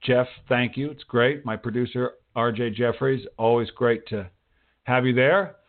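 Guy hosting a chatty interview show, informal and encouraging, mid pitch, tinny phone sound. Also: fairly even intonation, a little slow. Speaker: talk show host, writing teacher. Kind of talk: casual interview chat. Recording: thin phone-like radio call audio.

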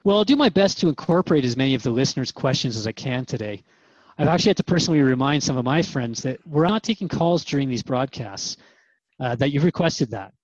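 A man's voice: medium pitch, lively intonation, fast, dry recording, highs cut off. A man hosting a radio interview program, conversational and reflective as he addresses listeners and his guest. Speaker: radio host.

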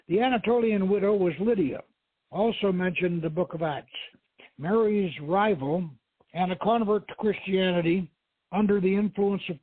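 A man's voice: sits high, breathy edge, no echo. A man who hosts the show, giving a dramatic reading of a novel. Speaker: host reading a novel aloud. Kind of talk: dramatic audiobook-style reading. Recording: thin, phone-like radio line.

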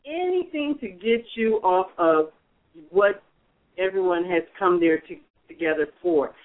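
High-voiced man coaching over a phone-thin line. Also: lively intonation, measured pace. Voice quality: strong projecting voice. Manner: earnest coaching, frequent restarts. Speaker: radio show host, mentor. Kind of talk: advice talk on a radio show. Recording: phone-like narrow band.

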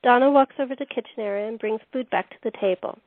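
A thin, phone-like recording carries a woman narrator. Her somewhat high voice reads the scripted stage directions of a radio play. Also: fast talker, no echo.

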